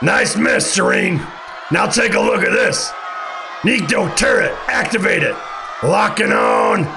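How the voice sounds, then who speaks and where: A man playing a gruff, eager soldier in an audio drama, barking loudly in a gravelly voice. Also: high-pitched, expressive, measured pace, crowd noise, background noise, heavily acted. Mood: battle-hungry. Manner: loud, barking. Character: gruff, eager male soldier under a captain.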